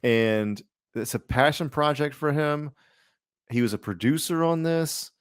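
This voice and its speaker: A man's voice: a little high, unhurried, smooth, no room sound, clean studio sound. A man co-hosting a podcast, chatting casually about superhero films in a rambling, informal, slangy way. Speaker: podcast co-host.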